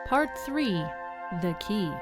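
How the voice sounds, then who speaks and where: A woman's voice: mid-range voice, big pitch swings, takes it slowly. A woman narrating a scripted radio serial like a storybook, speaking directly to the listeners. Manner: storybook recap, addressing listeners. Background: music with jingling bells.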